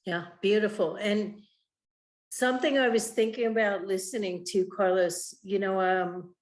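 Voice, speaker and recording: A woman interviewing an artist over a video call, asking thoughtful, measured questions with frequent "you know". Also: medium pitch, fairly even intonation. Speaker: interviewer, writer and curator. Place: home in the Southwest.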